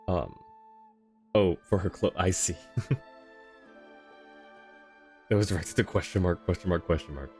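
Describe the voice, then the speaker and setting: A man livestreaming a game with casual, thoughtful commentary as he chats with viewers, often pausing to find his words. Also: low-pitched, a little mellow, soft background music. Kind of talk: casual live commentary, answering chat.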